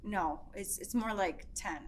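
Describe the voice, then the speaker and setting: Young woman teacher, Mexican-American, low voice, relaxed interview talk. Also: measured pace. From Mexican-American, from the United States. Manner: soft-spoken, repeats words, mixes in Spanish. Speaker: high school teacher, interview guest. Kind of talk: casual interview conversation. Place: school classroom.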